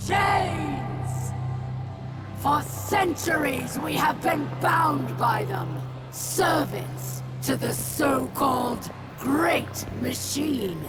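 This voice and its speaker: A woman voicing a proud, vengeful alien warlord in a video game, speaking with commanding, oratorical, drawn-out menace. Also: sits high, expressive, over loud music, exaggerated voice. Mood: defiant rallying. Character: proud, vengeful female alien warlord rallying her army. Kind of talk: video game cutscene acting. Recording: loud game score behind voice.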